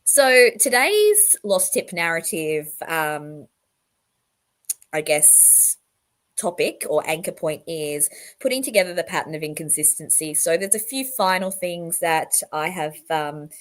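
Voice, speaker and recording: A woman in her thirties with a croaky, sick voice, chatting casually with her livestream viewers and greeting them by name. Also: lowish voice, expressive, a little slow. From Australia. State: croaky voice from illness. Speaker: livestream host. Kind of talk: casual livestream talk to chat.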